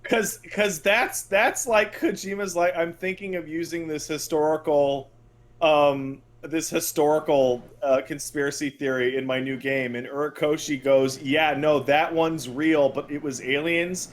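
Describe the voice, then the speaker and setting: A man's voice: fairly high pitch, steady tone, a little slow. A man co-hosting a casual gaming podcast, telling things in a blunt, profane, emphatic way. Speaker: podcast co-host. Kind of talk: casual podcast chat about video games.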